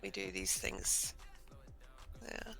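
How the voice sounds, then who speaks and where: A hoarse woman chatting casually with friends on a voice call, teasing and bossy. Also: slow pace, pushed and bright, faint music behind. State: hoarse, voice deeper than usual. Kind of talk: casual chat.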